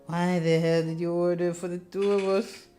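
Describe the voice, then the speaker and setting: A woman's voice: on the low side, level tone, normal speed, dark tone, soft background music, lightly acted. A woman voicing a curt, exasperated male private investigator in a visual novel. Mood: curt, annoyed.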